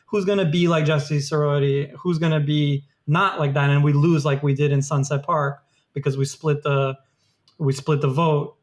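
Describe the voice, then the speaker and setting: Chatty man with a fairly high voice. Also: little pitch movement, normal speed. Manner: loose, filler-heavy talk with frequent "like" and "um". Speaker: podcast co-host. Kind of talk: casual political chat.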